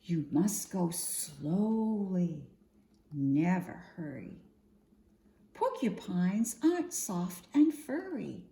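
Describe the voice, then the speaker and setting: Slow, animated woman storyteller for children. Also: lowish voice. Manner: expressive, singsong rhyming delivery, addressing children with questions. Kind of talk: read-aloud picture book storytime for young children. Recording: roomy echo, faint background noise.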